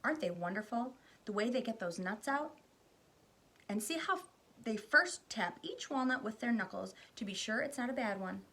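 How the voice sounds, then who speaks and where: A woman voicing an eccentric, excited man who owns a candy factory, calling out in a high, loud voice. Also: normal speed, breathy edge, heavily acted. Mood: gleeful.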